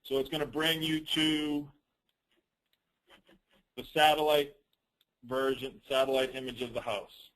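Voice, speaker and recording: A man presenting a business training call as an informal, rambling software demo, with teasing, self-deprecating asides. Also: medium pitch, flat delivery, unhurried, long pauses, poor audio.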